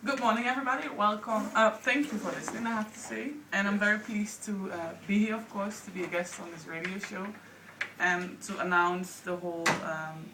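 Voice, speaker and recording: A woman's voice: medium pitch, fairly even intonation, reverberant, far from the mic, noisy background. A woman in her thirties with a Dutch accent, a guest on a radio interview, talking in a chatty way and saying "like" often. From Netherlands. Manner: chatty, quick, uses "like" often. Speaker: guest, anthropologist.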